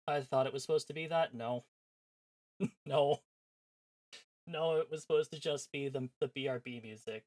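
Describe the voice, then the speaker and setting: A man chatting casually as a livestream host, sarcastic and self-deprecating, with some swearing. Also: mid-range voice. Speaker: live streamer hosting visual novel previews. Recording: voice over background music.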